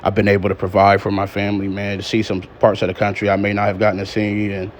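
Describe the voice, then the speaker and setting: A man's voice: lowish voice, fast talker. A man in his thirties chatting casually and plainly as he drives a truck, like a vlogger on the road. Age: 30s. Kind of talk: casual chat while driving. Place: inside a truck cab on the road. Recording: steady road and engine noise. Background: truck cab driving noise.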